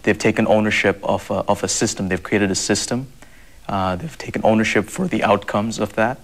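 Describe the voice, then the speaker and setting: Young man, from Zambia, low voice, earnest, lots of fillers and restarts, interview guest. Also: a little fast. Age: young adult. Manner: earnest, repeats words and uses many fillers. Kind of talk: interview answers.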